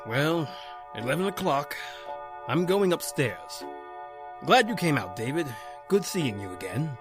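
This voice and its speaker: An actor playing a solemn, authoritative older man in old-time radio horror, measured and portentous. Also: on the high side, big pitch swings, over music. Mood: weary, courteous.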